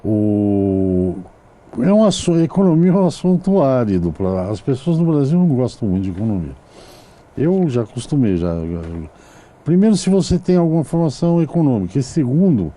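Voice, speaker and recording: A male television host with a very dark, mellow voice whose pitch swings widely as he talks. He asks long, meandering questions full of asides. It is a casual studio interview. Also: big pitch swings, moderate pace. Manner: rambling, digressive questions.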